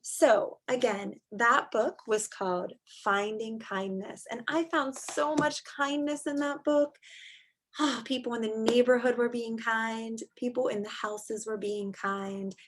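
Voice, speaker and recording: A woman's voice: fairly high pitch, unhurried. A woman teacher reading a picture book aloud to young children, expressively and in simple words, with chatty asides.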